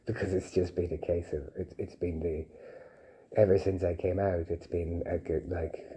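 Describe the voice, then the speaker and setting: A man in his early forties with an Irish accent, giving a personal account in a halting, repetitive, pleading way. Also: deep voice, flat delivery, warm tone, low-quality recording. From Dublin, Ireland. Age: early 40s. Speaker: interviewee being assessed. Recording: faint background noise with clicks.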